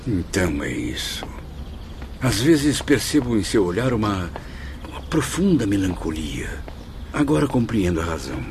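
A man acting a pensive gentleman spirit in a radio drama, very low and highly inflected. He sounds sympathetic and formal, wondering aloud over light background noise. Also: big pitch swings, normal speed. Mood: moved, sorrowful.

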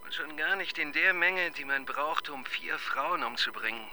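An actor playing a shy, awkward young police assistant who talks in a hesitant, deferential, stammering way in a radio crime drama. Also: male voice, fairly high pitch, moderate pace, crowd noise, natural voice. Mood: earnest.

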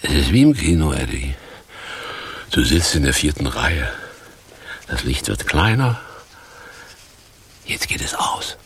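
An actor playing a theatrical tramp, a washed-up stage actor, slow and declamatory with a husky, creaky voice in a radio drama. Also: male voice, a bass voice, big pitch swings, fairly bright tone, full character voice. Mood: solemn. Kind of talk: 1980s German radio drama acting.

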